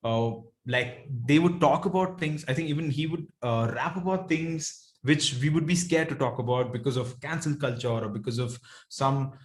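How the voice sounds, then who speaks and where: A man's voice: medium pitch, moderate pace. A man from Bangalore with a South Indian accent, speaking casually and earnestly as a rapper being interviewed, rambling as he thinks. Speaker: rapper guest.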